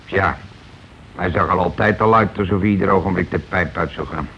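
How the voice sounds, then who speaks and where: On a dull, slightly noisy old broadcast, a blustering working-class husband speaks in a lowish voice. He has had a drink. He is blunt and colloquial, echoing words back as he tries to catch on. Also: fairly quick. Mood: puzzled, probing. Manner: blunt, colloquial, repeats what he hears. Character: blustering, tipsy working-class husband, union man, gruffly slow-witted. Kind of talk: naturalistic radio play dialogue. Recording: muffled, noisy old radio broadcast recording.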